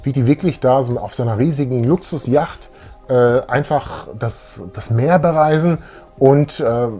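A middle-aged man co-hosting a casual, nostalgic fan chat, animated and often repeating his phrases. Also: mid-range voice, a little slow, faint music behind. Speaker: video show co-host. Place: small room full of collectibles, indoors.

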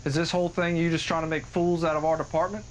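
A man's voice: on the high side, little pitch movement, fast, close to an everyday voice, rough recording. A man playing a blunt, skeptical police detective with a sarcastic, accusatory way of talking in a found-footage horror film. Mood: skeptical, stern. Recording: outdoor audio with insect noise.

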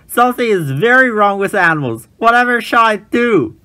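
A fretful storybook zookeeper with a raised, high voice, played by a man reading aloud. He wails and exclaims anxiously. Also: expressive, fairly quick, sharp tone, smooth, exaggerated voice. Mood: alarmed. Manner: wailing, exclaiming. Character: fretful, alarmed zookeeper, male adult.

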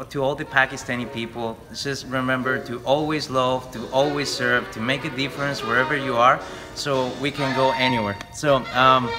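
Young man from Mexico, mid-pitched, upbeat, music clearly behind. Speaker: musician and youth leader. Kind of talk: recorded greeting to camera. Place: large assembly hall.

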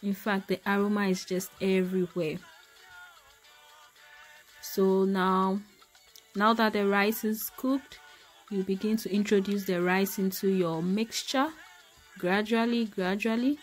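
A woman's voice: medium pitch, steady tone, unhurried, pauses often, faint music behind. A woman demonstrating a recipe in her home kitchen, chatty and addressing viewers as her people. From Ghana. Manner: chatty, talks to viewers as "my people", exclaims over the food. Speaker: cooking vlogger. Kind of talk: casual cooking demonstration.

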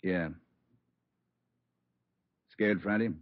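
An actor playing a firm senior police officer, brisk and businesslike, giving clipped orders in an old-time radio drama. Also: male voice, on the low side, steady tone, no echo. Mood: dry, tense. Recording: tinny phone-like old radio recording.

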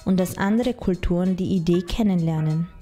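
A woman's voice: lowish voice, moderate pace, mellow. A woman reading scripted narration for a TV magazine report. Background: faint music.

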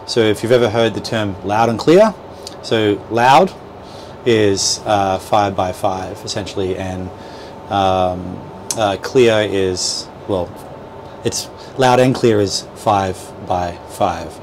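Guy doing a casual how-to, lowish voice, halting a bit, noisy boat cabin. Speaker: boat dealer presenter. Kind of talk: informal instructional walkthrough. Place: small boat cabin at a marina. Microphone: clip-on lapel mic. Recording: noisy boat cabin with steady background hum.

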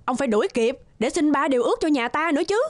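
A woman's voice: sits high, animated, very fast, a little hiss or hum. A woman playing a bossy, exasperated middle-aged wife in a children's fairy-tale cartoon, nagging and scolding. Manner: scolding, exclamatory.

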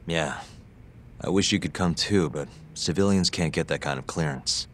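Low-voiced, steady male detective. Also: normal speed, slight background noise. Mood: calm, reassuring. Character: tough, determined male detective, calm and reassuring. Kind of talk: video game cutscene acting.

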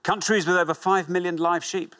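A man's voice: sits high, fairly even intonation, brisk, fairly bright tone. A middle-aged man hosting a studio quiz show with a lapel mic, chatty and encouraging. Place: large TV studio with audience.

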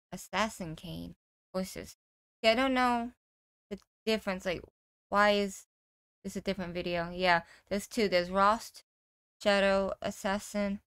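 Clear-voiced woman reacting, musing. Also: mid-range voice, a bit bright, dry recording. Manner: musing, questioning, tentative. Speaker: gaming reaction video host. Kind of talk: casual reaction commentary.

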